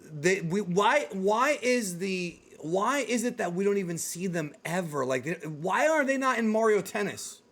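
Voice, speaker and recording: A man hosting a casual discussion, talking in an animated, slangy way like an excited fan. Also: high-pitched, measured pace, few pauses. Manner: animated, slangy, addresses co-host as dude and yo. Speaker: host of a video game movie discussion show.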